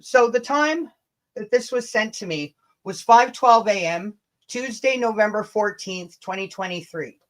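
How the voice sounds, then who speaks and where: A woman's voice: medium pitch, measured pace. A woman from Canada hosting a livestream, chatting casually and reading emails aloud with a blunt, sweary, sarcastic delivery. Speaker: livestream host. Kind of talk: livestream chat mixed with dramatic reading of emails.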